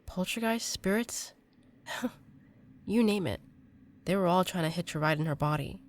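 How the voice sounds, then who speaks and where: An actress playing an earnest young female exorcist in an audio drama, halting and emotional. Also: on the low side, natural voice. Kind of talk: intimate audio drama acting.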